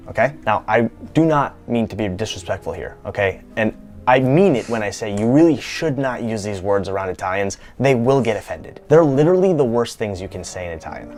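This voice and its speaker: A young American man with a low voice talks through a scripted vlog, playful and a bit self-deprecating. Faint music sits under his voice. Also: darkish tone. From United States. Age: young adult. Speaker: YouTube creator.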